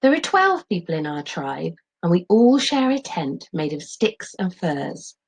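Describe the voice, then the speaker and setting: A middle-aged woman teaches and reads aloud with expressive phrasing. Her voice sits a little low, with a husky edge and a lively, moving pitch. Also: measured pace. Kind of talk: explaining lesson and expressive story reading.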